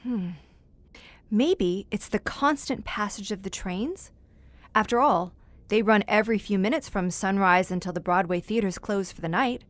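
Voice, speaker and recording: An actress voices an inquisitive, educated woman in an audio horror play. Her medium-pitched voice stays composed. She phrases things formally and probes with careful questions. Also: moderate pace, no echo, natural voice. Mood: curious, reasoning. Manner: formal, literate, probing. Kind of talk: audio horror drama acting.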